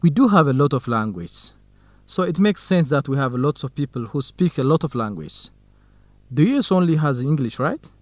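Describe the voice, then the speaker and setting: An actor playing a kind, modest young researcher in an educational radio drama, speaking in measured, simple phrases. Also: male voice, a little high, lively intonation, no room sound, natural voice. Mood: gentle, helpful.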